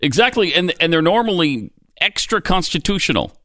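A man's voice: fairly high pitch, very animated, a little fast, sharp tone, dry recording, clean studio sound. A man co-hosting a radio talk show, taking callers and chatting casually with a wry, laughing manner.

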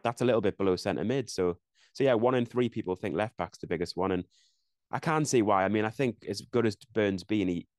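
A young Englishman with a low voice rattles through his opinions at a fast clip, doubling back and restarting as he thinks aloud. He is co-hosting a casual football chat. The sound is dry and close, with almost no room tone. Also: a little mellow, dry recording. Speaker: podcast co-host.

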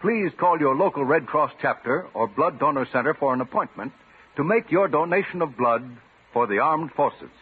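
A man's voice: fairly high pitch, animated, normal speed, a little hiss or hum. An American man delivering an earnest 1950s radio public service appeal. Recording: muffled, rough old radio broadcast.

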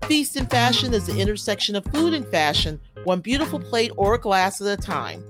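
A woman's voice: medium pitch, very animated, moderate pace, over music. A woman hosting a food podcast, reading a polished introduction and then chatting with her guest. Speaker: podcast host. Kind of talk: scripted intro then interview chat.

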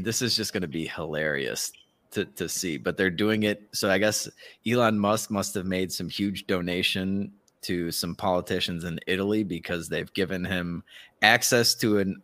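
Low-pitched man in casual podcast banter. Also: sharp tone. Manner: informal, slangy, opinionated. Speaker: podcast co-host.

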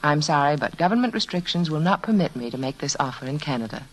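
Expressive 1940s radio beauty spokeswoman. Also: low-pitched, quick pace, low-quality recording. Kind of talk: 1940s scripted radio advertisement.

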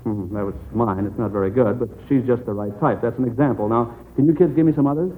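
A man's voice: medium pitch, fast talker, a little hiss or hum. An American man hosting a 1940s radio quiz in a folksy, encouraging manner. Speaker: quizmaster of a children's quiz show. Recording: muffled old narrow-band radio recording.

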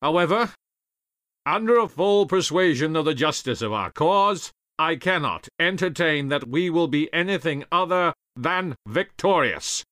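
A man's voice: a little high, expressive, a little slow, fairly bright tone, dry recording, studio quality, voice pushed up. A man voicing a commanding male general who speaks in formal, rousing tones. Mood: resolute.

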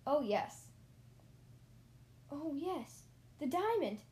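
A woman's voice: high-pitched, very animated, very slow, a little mellow, voice pushed up, heavily acted. A woman voicing a shy, dramatic male mouse writer from a children's storybook, flustered and exclamatory. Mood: stammering, flustered. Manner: whimpering, stammering, exclamatory.

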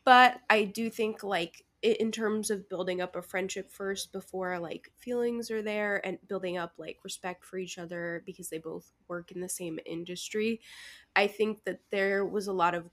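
A woman's voice: mid-range voice, steady tone, moderate pace, a bit bright. A woman co-hosting a book club podcast, giving her opinions in a casual, conversational way.

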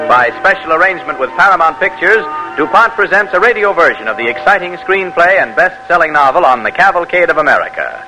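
A man's voice: fairly high pitch, poor audio. A man announcing and narrating a 1940s radio drama in a formal, stately way. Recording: old radio broadcast with music.

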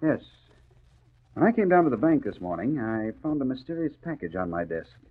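A man's voice: medium pitch, very animated, husky. An actor playing a formal, hesitant male bank boss in a 1940s radio drama. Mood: businesslike. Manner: formal, halting, hemming. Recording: tinny old radio recording.

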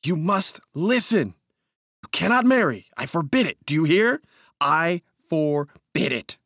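A man's voice: mid-range voice, normal speed, dry recording, clean studio sound, natural voice. A man voicing a deluded, imperious madman in a storybook reading, grandiose and commanding, with simmering rage. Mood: raging, commanding.

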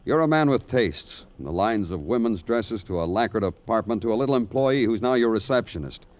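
An actor playing a weary, relentless American police detective in a 1950s radio crime drama, clipped and brooding. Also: male voice, fairly low pitch, animated, a little fast, a little mellow, close to an everyday voice. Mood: accusing. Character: hard-boiled weary Broadway police detective, relentless and knowing.

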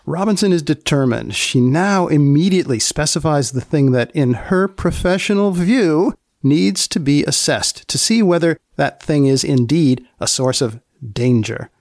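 Male host, dry close recording, gravelly edge; deadpan, mock-solemn, wordy banter. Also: fairly high pitch, a little slow, dry recording. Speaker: podcast host. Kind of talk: wry scripted commentary with banter.

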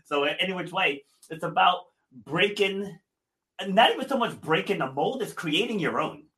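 An energetic man hosting a podcast interview, talking in a loose, chatty way with frequent laughs. His voice sits a little high and sounds bright and forceful. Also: normal speed. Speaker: podcast host, gym owner.

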